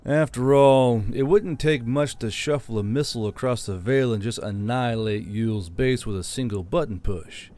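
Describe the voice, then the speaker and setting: A man's voice: mid-range voice, darkish tone. A man narrating a fiction audiobook from a script.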